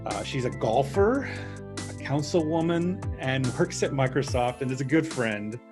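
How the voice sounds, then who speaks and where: A man hosting an interview, introducing his guest in a friendly, halting way. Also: mid-range voice, expressive, music in the background. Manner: friendly, halting host with fillers. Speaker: host.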